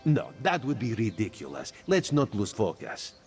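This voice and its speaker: Playful, secretive cat-man spy for a game, lively sing-song delivery, speaks of himself in third person, faint music behind. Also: lowish voice, moderate pace. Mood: sly. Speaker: spymaster quest giver. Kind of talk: video game character dialogue.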